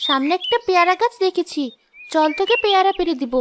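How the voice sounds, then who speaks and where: Woman as a very high-pitched dutiful village girl. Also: expressive, quick pace, noisy background. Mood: helpful. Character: caring, hard-working poor village girl, dutiful elder sister. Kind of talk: children's moral-story cartoon dubbing. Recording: music behind the voice.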